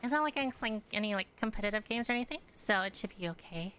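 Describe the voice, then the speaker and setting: A woman's voice: medium pitch, lively intonation, fast talker, fairly bright tone, slight background noise. A woman giving casual gameplay commentary, thinking aloud in a hesitant way as she plays. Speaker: gamer, streamer.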